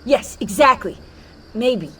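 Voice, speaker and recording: A woman's voice: on the high side, lively intonation, slow pace, slight background noise. An actress in a video game playing a blunt, edgy young female tech specialist with an American accent. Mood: matter-of-fact. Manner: casual, blunt.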